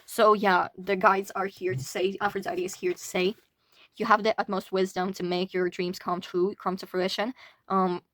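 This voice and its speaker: A woman's voice: medium pitch, flat delivery, moderate pace, fairly bright tone. A young adult woman from Latvia giving a casual tarot reading, talking chattily with frequent restarts and the odd swear word. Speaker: tarot reader.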